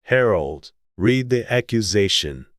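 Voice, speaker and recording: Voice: medium pitch, lively intonation, slow pace, dry recording, studio quality. A narrator voicing a pompous, bossy storybook King who gives blustering commands in court. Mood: pompous, commanding. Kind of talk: dramatized storybook character voice.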